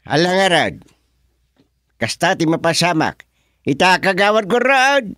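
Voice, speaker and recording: A man playing an authoritative village chairman in a radio comedy drama, speaking with an Ilocano accent in a commanding, deliberate manner. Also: high voice, sing-song, fairly bright tone, full character voice. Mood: firm, commanding. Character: authoritative village chairman presiding over a dispute.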